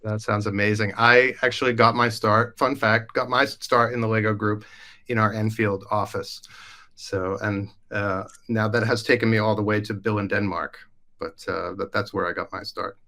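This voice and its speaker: A man's voice: fairly low pitch, flat delivery, normal speed, bright, forceful tone. A man hosting a live podcast, chatty and upbeat, introducing colleagues. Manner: upbeat, chatty, with frequent fillers. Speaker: host. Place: Denmark.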